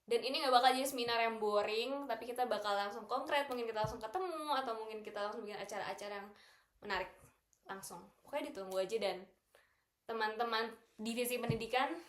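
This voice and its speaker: A woman's voice: a little high, quick pace. A young woman with an Indonesian accent chatting casually as a podcast co-host, mixing in English and German words. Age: young adult. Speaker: student association board member and co-host. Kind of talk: casual group podcast chat. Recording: roomy, echoing room sound.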